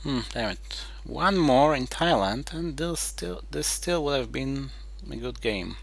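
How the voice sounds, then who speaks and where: A man playing a strategy game and thinking aloud in terse, halting remarks, muttering to himself as he weighs his moves. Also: medium pitch, lively intonation, creaky, rough recording. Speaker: board game player.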